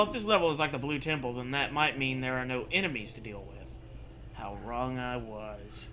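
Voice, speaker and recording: Bright-voiced man hamming up a story reading. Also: mid-range voice, slight background noise, poor audio. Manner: hammy, breaks off to riff and joke. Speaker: co-host reading a story aloud. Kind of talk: comedic dramatic reading with casual asides.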